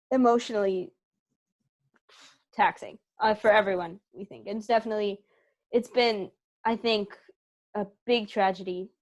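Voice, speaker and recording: A young woman giving conversational interview answers in an effusive, emphatic way. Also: on the high side. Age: young adult. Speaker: interview guest, theatre company co-founder.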